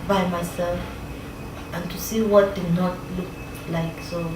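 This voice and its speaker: A Nigerian woman in her fifties from Lagos, telling her life story in an interview in a reflective, unhurried way with many pauses and fillers. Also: on the low side, little pitch movement. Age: 50s. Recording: roomy, distant mic with background noise.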